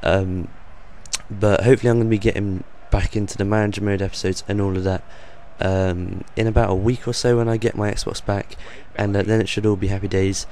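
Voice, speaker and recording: A young man with a low, croaky voice talks over football game footage, improvising with plenty of ums and uhs. His delivery stays flat and even, with little rise or fall. A faint hiss of background noise sits under the voice. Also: a little fast. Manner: rambling, hesitant, many ums and uhs. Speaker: gaming channel host. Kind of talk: off-the-cuff voice-over commentary.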